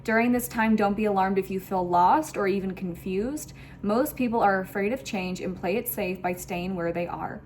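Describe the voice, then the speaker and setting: A woman's voice: medium pitch, normal speed, hardly any pauses, darkish tone, a little hiss or hum. A woman giving a spiritual tarot reading to viewers, speaking in an effusive, emphatic way and often repeating phrases. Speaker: tarot reader hosting an online reading.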